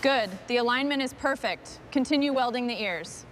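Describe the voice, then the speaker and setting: A fairly high-voiced woman leads a restoration crew in calm, clipped orders. Every line is short and even. Faint workshop noise hums behind her. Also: normal speed. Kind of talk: scripted workshop dialogue.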